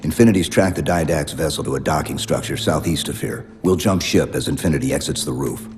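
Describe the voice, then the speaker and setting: Stoic male super-soldier: extremely low voice, terse, deadpan, game drama, long reverb. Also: brisk, slight background noise. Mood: resolute. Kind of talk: dramatic video game cutscene acting. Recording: long reverb, music underneath.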